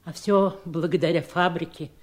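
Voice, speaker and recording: A woman's voice: lowish voice, fairly even intonation, normal speed, natural voice. A woman acting a devoted servant in a 1970s Soviet radio drama, deferential but bossy and fussing. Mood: troubled, confiding. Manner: deferential yet fussing and scolding.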